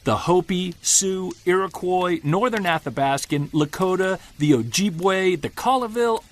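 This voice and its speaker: A man playing an earnest, indignant Bigfoot believer in an audio drama monologue, arguing emphatically with sardonic rhetorical flourishes. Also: a little high. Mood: indignant, challenging. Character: Jared, earnest, indignant male Bigfoot believer recording field notes. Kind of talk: audio drama monologue, podcast-style rant.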